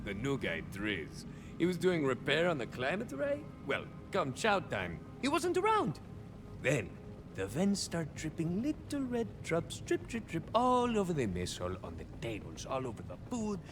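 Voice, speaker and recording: An actor playing a swaggering young cyborg soldier of fortune in a video game, a touched flavor, musing theatrically and landing wry deadpan punchlines. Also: male voice, on the high side, normal speed, long reverb tail, over music. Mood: wry storytelling. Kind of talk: video game character monologue.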